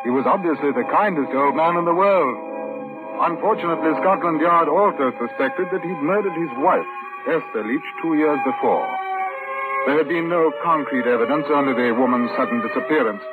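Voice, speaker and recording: An actor playing a composed British chief inspector in a radio drama, courteous, formal and measured. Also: male voice, a little high, lightly acted. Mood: reflective. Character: composed, polite British police chief inspector, narrating his case. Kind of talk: old-time radio drama acting and narration. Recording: dull vintage radio recording, loud music.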